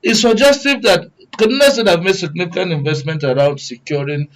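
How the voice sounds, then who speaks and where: A Nigerian man speaks as a guest commentator on a public affairs talk show. His voice sits a little high for a man. He is earnest and wordy, repeating phrases as he builds each point. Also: brisk, rough recording.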